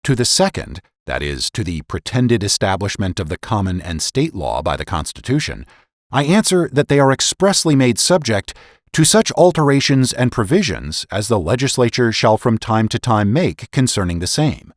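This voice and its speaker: Deep-voiced man reading with sweeping oratorical emphasis. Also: big pitch swings, moderate pace, no room sound, clean studio sound. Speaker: podcast host and narrator. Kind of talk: dramatic reading of historical political essays.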